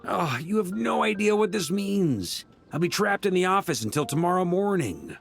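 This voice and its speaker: An actor playing an easygoing, roguish knight commander in a video game, casual and teasing but caring. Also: male voice, high-pitched, very animated, normal speed, gravelly, heavily acted. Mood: reluctant. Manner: casual, breezy, laughing. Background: music.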